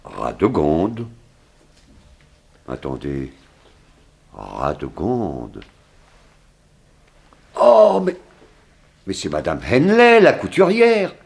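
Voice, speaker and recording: A small-town sheriff with a low, deep voice. His intonation rises and falls widely as he hesitates and exclaims. The delivery is folksy, flustered 1960s French radio acting. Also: wildly swooping pitch, drawn-out words, gravelly, long pauses, heavily acted. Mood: puzzled, helpful.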